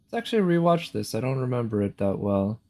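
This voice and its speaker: A man's voice: medium pitch, very animated, quick pace. A man giving casual live commentary on a video game, thinking aloud and often questioning what is in front of him. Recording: game music behind the voice. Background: loud video game music.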